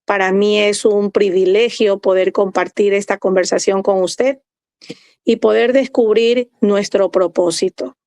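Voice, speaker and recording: A woman's voice: mid-range voice, no echo. A woman hosting a faith podcast, formal in tone and asking reflective questions with some hesitation. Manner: formal, warm introductions, hesitant fillers. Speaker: host.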